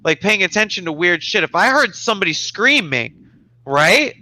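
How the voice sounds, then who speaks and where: A man hosting a casual podcast chat, animated and packed with fillers like "like" and "you know.". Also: high voice, normal speed, low-quality recording. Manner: animated, filler-heavy, says like a lot. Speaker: podcast host.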